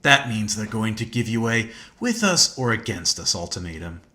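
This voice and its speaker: A stern, distrustful video game rival, with a fairly low, forceful voice. His terse, dry lines are confrontational, with lively turns of pitch. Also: normal speed. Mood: stern warning.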